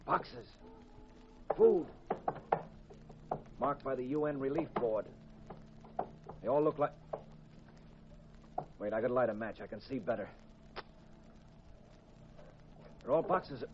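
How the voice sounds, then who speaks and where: An actor playing a terse, confident husband and amateur detective in a 1950s radio mystery, with a clipped delivery. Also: male voice, mid-range voice, expressive, unhurried, pauses often, lightly acted. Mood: cautious. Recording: muffled, noisy 1950s radio recording.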